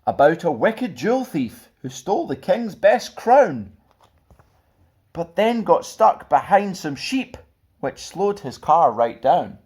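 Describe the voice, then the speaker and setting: A man reading a children's picture book aloud as a storyteller, in an expressive, theatrical way. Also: on the high side, slow pace. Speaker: storyteller and channel host.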